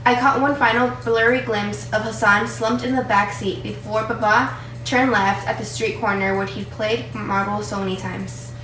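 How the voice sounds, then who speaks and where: A woman's voice: medium pitch, steady tone, normal speed, bright, forceful tone. A woman reading scripted dramatic narration for readers' theatre. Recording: distant, echoey, faintly noisy room.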